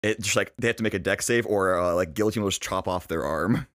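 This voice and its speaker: A young man with a very deep voice co-hosts a casual podcast. He talks in a laid-back, dry way and pauses on fillers as he thinks. His voice sounds close and dry, with no room around it. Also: fairly bright tone, dry recording. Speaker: podcast co-host.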